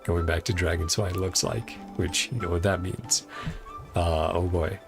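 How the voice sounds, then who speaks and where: A man's voice: deep voice, flat delivery, measured pace, gravelly, music in the background. A man livestreaming a video game, chatting casually in rambling asides and reading dialogue aloud. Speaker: game streamer.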